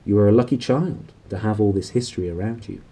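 A man reading a storybook, voicing a plump elderly clergyman who is kindly, genteel and gently probing. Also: lowish voice, quick pace. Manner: genteel, paternal, questioning.

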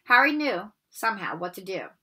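A woman in her forties reading a children's novel aloud in an expressive storytelling style. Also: mid-range voice, fairly quick, slightly rough. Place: bedroom at home, indoors.

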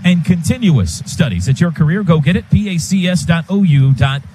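A man's voice: a little high, very animated, a little fast, mellow. An American man giving live football play-by-play on the radio in short, clipped phrases. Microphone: broadcast booth microphone. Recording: live stadium broadcast, faint ambient noise.

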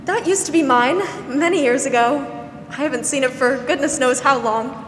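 A young woman acting a gentle, weary, protective older aunt in a 1940s-style radio drama, soothing and hesitant. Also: sits high, normal speed, faint music behind, close to an everyday voice. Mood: kindly, patient. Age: young adult. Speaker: student actor. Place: school theatre stage. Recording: echoey hall, noisy background.

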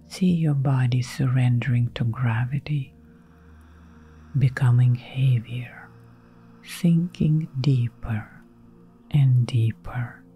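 A woman guiding a relaxation meditation in a soft, breathy half-whisper, giving gentle, soothing instructions with long pauses. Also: rumbling low. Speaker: meditation guide. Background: background music.

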